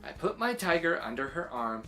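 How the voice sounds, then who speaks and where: A woman reading a children's story aloud in expressive, acted-out narration. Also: low-pitched, normal speed, faint music behind.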